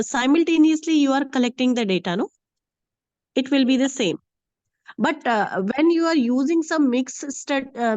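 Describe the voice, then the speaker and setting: A woman with a heavy cold giving an online lecture, hoarse and hesitant with many fillers. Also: sits high, a little mellow, dry recording. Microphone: video call.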